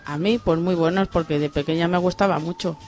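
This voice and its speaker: A female radio co-host from chats casually on air. Her voice is fairly low for a woman. Music plays behind her. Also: steady tone, brisk, a little mellow.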